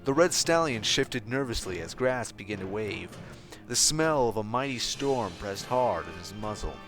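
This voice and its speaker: A man's voice: lowish voice, animated, normal speed, fairly bright tone. Man narrating a story over music. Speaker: fan fiction reader. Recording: music behind the voice. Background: background music.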